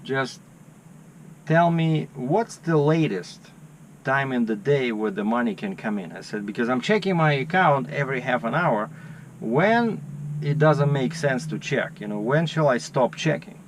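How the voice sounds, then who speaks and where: A man's voice: mid-range voice, animated, measured pace, a little hiss or hum. A man, a truck driver vlogging in casual chat and animatedly telling stories, acting out his phone calls. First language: Russian.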